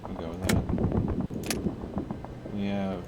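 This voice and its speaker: A man with a low voice gives casual commentary as he streams a video game. He thinks aloud as he plays. Loud game ambience runs underneath him. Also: little pitch movement, slow, very noisy.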